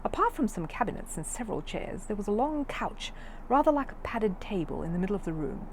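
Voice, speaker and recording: A woman narrating a children's storybook in an expressive reading style. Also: medium pitch, a little fast, a little hiss or hum, poor audio.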